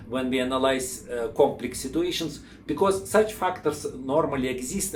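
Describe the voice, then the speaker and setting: Man rambling in an echoey room. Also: mid-range voice. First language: Russian. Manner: rambling, many fillers and restarts. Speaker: channel host. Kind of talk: informal explainer talk. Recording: echoey room, faint background hum.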